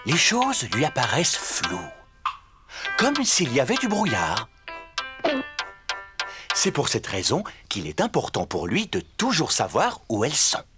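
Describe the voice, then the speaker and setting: A man's voice: mid-range voice, huge pitch swings, unhurried, long pauses, music in the background. A man narrating a children's cartoon from a script, telling the story simply for young listeners.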